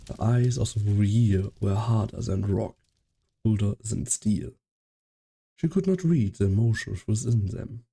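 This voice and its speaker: A man playing a solemn storybook narrator in a fan dub, reading scripted dramatic lines in a measured manner. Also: on the low side, creaky, warm tone, slight background noise.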